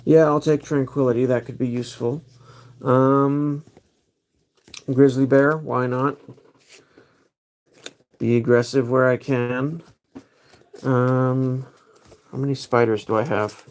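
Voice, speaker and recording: Guy hosting a stream, talks real slow, kinda mulling stuff over out loud. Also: mid-range voice, fairly even intonation. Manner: thinks aloud while choosing cards. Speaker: livestream host. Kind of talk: casual livestream commentary.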